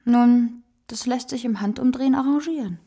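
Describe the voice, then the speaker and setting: Brazen courtesan, gravelly, husky, knowing, expressive, radio drama. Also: female voice, fairly high pitch, normal speed. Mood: brisk, persuasive. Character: worldly, brazen kept woman, generous and theatrical.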